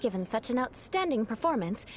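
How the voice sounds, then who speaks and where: A woman's voice: on the high side, big pitch swings, brisk, soft background music. A young woman voicing a haughty, refined aristocratic knight in an anime-style game, with a formal, instructive manner. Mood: approving.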